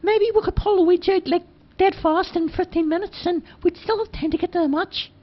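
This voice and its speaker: A man voicing an excitable, impatient young man with a squeaky, hurried voice. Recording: dull lo-fi recording.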